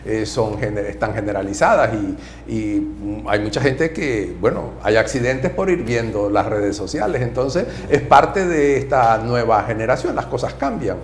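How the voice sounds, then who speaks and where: A man from Nicaragua, a Jesuit priest, answering interview questions in a soft-spoken, measured way. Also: mid-range voice, animated, echoey room, slight background noise. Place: TV studio.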